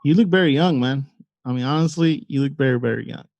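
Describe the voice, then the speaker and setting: A man's voice: medium pitch, fast talker, vocal fry, darkish tone. A man hosting a casual interview, prompting his guest with short friendly questions. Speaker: podcast host. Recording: dull, dry close sound.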